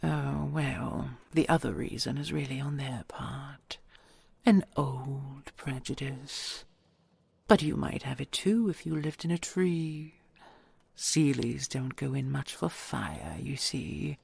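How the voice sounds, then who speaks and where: A woman voicing an ancient dragon queen in an audio roleplay, tender and regal, speaking in a husky, breathy half-whisper with stately, intimate phrasing. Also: extremely low pitch, lively intonation, darkish tone, dry recording, heavily acted. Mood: wistful, regretful. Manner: stately, measured, formal and intimate endearments.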